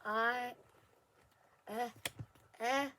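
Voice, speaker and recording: A woman's voice: on the high side, fairly even intonation, drawn-out words, pushed and bright, slight background noise. A woman reading a children's picture book aloud word by word, stumbling and correcting herself as she goes. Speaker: story reader.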